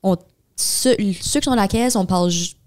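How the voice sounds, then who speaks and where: A woman's voice: medium pitch, normal speed, a little mellow. Young Acadian woman, casual bilingual chat. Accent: Acadian French, New Brunswick. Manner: mixes English words into French, many fillers. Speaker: podcast co-host.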